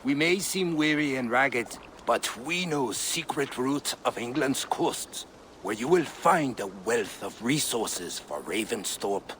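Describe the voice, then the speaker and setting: Hearty seafaring raider for a game, eloquent and inviting, faint noise behind. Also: male voice, mid-range voice, lively intonation, slow pace, fairly bright tone. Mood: courteous.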